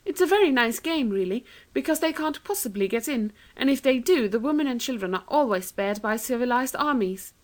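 Storybook elder sister, young girl, raised pitch, cheerful and persuasive, read by a woman. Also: animated, fairly quick, hardly any pauses, lightly acted. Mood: coaxing. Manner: coaxing, cheerful. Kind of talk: children's storybook reading.

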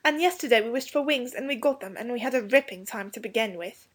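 A woman's voice: a little high, animated, quick pace, breathy edge, voice pushed up. A woman voicing an eager young English schoolboy in a storybook, earnest and hasty, stumbling over his slangy words. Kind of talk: storybook character dialogue.

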